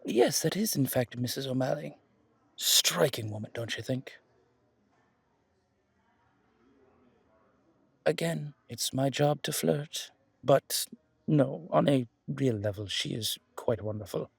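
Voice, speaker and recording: A charming male museum guide from a supernatural town, acted by a man, with a gravelly, husky voice. He chats in a genteel, flirtatious way, full of little asides and emphatic repeats, in a close, dry ASMR roleplay recording. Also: medium pitch, lively intonation, a little slow, no room sound, exaggerated voice. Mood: flirtatious, admiring. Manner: chatty, hesitant asides, emphatic repetitions, genteel. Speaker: character performer in an audio roleplay. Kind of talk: intimate ASMR roleplay monologue, conversational.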